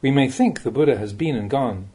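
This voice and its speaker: A man reads spiritual teachings aloud in a soft, dark-toned voice with a slightly husky grain. His pitch rises and falls freely as he stresses key phrases. The delivery is plain-spoken and measured, like a monk instructing students. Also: expressive. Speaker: Buddhist monk reading translated teachings. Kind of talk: reading a recorded Dhamma talk aloud.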